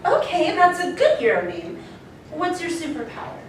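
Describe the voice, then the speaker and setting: A woman acts out a high-voiced, expressive schoolteacher, sweetly patronizing and quick to exasperation. Her pitch swoops in comic fashion. The sound is distant, in a big echoey hall. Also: gravelly, slight background noise, heavily acted. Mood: encouraging, brisk. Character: bubbly but exasperated young superhero schoolteacher. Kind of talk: live scripted comedy table read.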